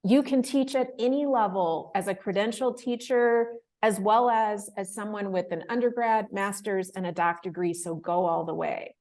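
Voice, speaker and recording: A woman in her thirties, a guest in an interview, telling her story in a casual, animated way, laughing often and acting out the voices of people she quotes. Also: mid-range voice, normal speed, few pauses. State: emotional, close to tears. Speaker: interview guest, fellowship winner.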